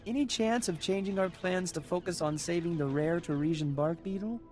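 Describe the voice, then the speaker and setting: A man playing a weary, sardonic field colleague in a video game, with dry deadpan sarcasm. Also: high-pitched, normal speed, lightly acted. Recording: game music underneath.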